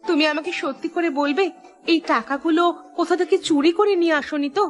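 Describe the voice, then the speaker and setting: Cartoon role: woman playing a stern wife, high voice, scolding, faint music. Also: quick pace. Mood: suspicious. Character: sharp-tongued village wife. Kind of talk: Bengali cartoon voice acting.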